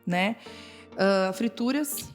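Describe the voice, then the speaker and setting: A woman's voice: medium pitch, extremely slow, soft background music. A woman with a Brazilian Portuguese accent, a school nutritionist speaking as a podcast guest and explaining things step by step with frequent tag questions. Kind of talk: casual podcast conversation.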